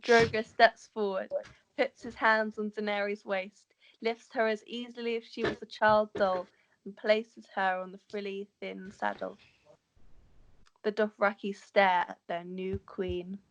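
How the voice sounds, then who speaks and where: A woman's voice: mid-range voice, level tone, unhurried, fairly bright tone, highs cut off, slight background noise. A woman narrating the stage directions of a script in an amateur table read, sometimes stumbling over words.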